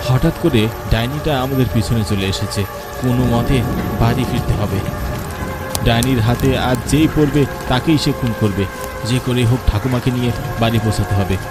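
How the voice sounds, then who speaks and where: A man's voice: medium pitch, moderate pace, natural voice. A man voicing an anxious young grandson who narrates his flight in a horror cartoon, tense and dramatic. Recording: music and rain behind.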